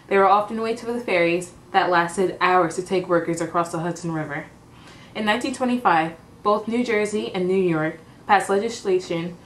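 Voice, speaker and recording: A woman reading a scripted amateur news report as a reporter. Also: fairly low pitch. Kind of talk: scripted amateur mock newscast. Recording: echoey room, distant mic, faint noise.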